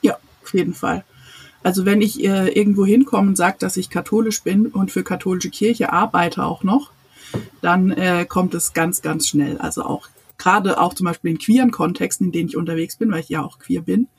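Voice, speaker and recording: Podcast guest, breathy, earnest, laughs often. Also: medium pitch, normal speed. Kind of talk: casual podcast conversation.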